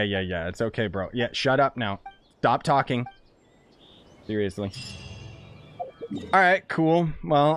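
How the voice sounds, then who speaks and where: A man's voice: medium pitch, slight background noise. A man giving casual, blunt and slangy live commentary as he streams a video game. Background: game music.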